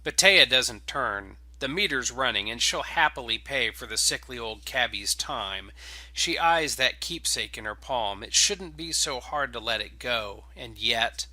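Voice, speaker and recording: A man hosts and narrates with an informal, keen delivery. His voice is very bright and forceful, at an ordinary male pitch. The sound is dry and close. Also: animated, unhurried, no echo, poor audio. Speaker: game writer and host introducing and reading stories. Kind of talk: casual podcast intro, then dramatic scripted narration.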